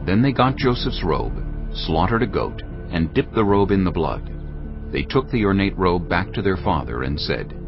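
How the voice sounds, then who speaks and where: A man with a deep voice and wide expressive inflection narrates scripture. His delivery is measured and dramatic, with faint music underneath. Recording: dull audio over background music.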